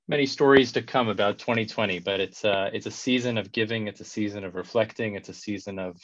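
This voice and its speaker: A man in his thirties hosting an online conversation, warm and effusive, repeating words as he builds his sentences. His voice sits low and reaches the ear dry and a little muffled through a headset on a video call. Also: no room sound. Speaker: host.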